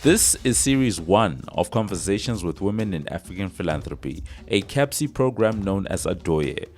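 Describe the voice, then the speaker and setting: A man's voice: low-pitched, expressive, moderate pace, darkish tone. A man reading a scripted introduction to a podcast series in a formal announcer manner. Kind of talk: scripted narration. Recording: faint music behind.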